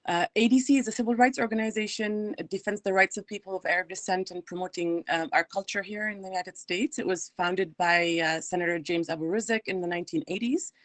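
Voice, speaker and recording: A woman from Palestine, a guest on a radio talk show, speaking in a measured way with frequent fillers. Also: medium pitch, fairly even intonation, dry recording. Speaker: guest, advocacy director. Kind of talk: radio interview.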